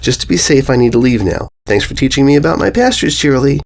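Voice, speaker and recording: A man's voice: mid-range voice, very animated, quick pace, gravelly, pitched above the performer's own voice. A man voicing a dim-witted, innocent adult female pony in a storybook, in an airy, naive and upbeat manner. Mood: airy, oblivious. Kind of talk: storybook narration character voice.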